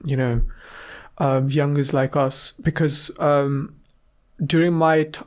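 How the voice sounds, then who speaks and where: A young man from Afghanistan, a guest in an interview, talking casually and haltingly with many fillers. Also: a little high, level tone, a little mellow.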